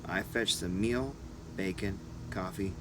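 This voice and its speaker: A man narrating a first-person adventure story as an audiobook reader, in short deliberate phrases. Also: low-pitched, background noise.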